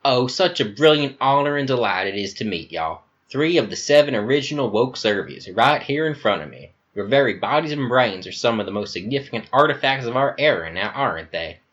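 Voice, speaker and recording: A man's voice: medium pitch, animated, a little fast, hardly any pauses, fairly bright tone, dull audio, voice pushed up, natural voice. A man playing a flamboyant Southern governor. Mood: gushing. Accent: American Southern. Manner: grandiose, fawning, theatrical. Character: flamboyant sinister male governor, fifty.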